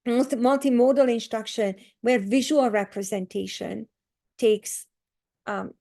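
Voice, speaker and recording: A woman's voice: a little high, measured pace, vocal fry. Woman, earnest and explanatory. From Hungary. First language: Hungarian. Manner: earnest, explanatory, lively with many fillers. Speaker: guest author and educator. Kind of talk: podcast interview.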